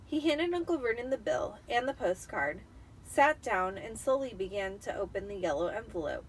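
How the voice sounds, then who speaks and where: A woman's voice: mid-range voice, animated, normal speed, far from the mic, slight background noise, poor audio. A tipsy woman doing an amateur, dramatic reading of a story as narrator. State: tipsy from wine. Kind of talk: dramatic audiobook-style storytelling.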